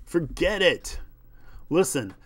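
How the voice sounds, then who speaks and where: A man's voice: fairly high pitch, sing-song, slow pace, a bit bright, slightly rough, no room sound, voice pushed up, full character voice. A man voicing a stubborn, indignant movie star who talks in a blunt, defiant manner.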